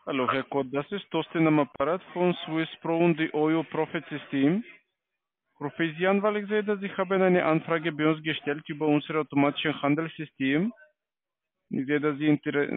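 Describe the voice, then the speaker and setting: A man's voice: a little high, fairly even intonation, normal speed, a little hiss or hum. A man with a foreign accent and non-native German, working through a stilted, formal sales script as a call-centre agent. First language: not German. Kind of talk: scripted phone sales pitch. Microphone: telephone. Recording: lo-fi muffled phone call.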